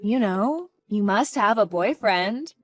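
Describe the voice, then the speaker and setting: A woman's voice: medium pitch, lively intonation, slow pace, no room sound, soft background music. A woman playing a protective, worried mother. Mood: tender. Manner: firm, worried, pleading. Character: protective, anxious single mother. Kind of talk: dramatized story acting.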